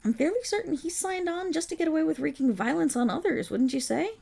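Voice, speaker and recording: A woman's voice: high-pitched, lively intonation, quick pace, creaky, darkish tone. A woman voicing a suave, scheming villain boss who talks in a silky, condescending way and purrs endearments, in an ASMR roleplay audio drama. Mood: scornful gossip. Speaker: voice actor.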